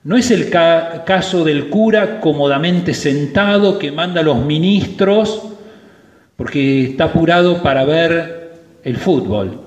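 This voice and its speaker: A priest preaching a sermon at Mass, slow and emphatic, stressing key words. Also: male voice, a little high. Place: church. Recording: roomy church with long reverb.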